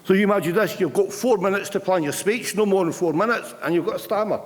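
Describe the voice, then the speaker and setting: A man's voice: sits high, fast talker. A man in his fifties, a retired union rep giving an earnest, plain-spoken conference speech with occasional stammered restarts in a large hall. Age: 50s. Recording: long hall reverb.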